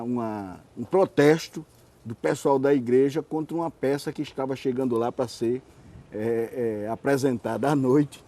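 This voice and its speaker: Man, mellow relaxed voice, mid pitch, interview host, repeats himself. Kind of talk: conversational interview. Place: TV studio.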